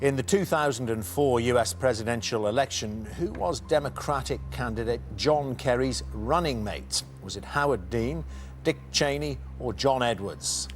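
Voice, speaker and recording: A man's voice: medium pitch, normal speed, faint music behind. A man hosting a television quiz show, chatty and jokey, with frequent fillers.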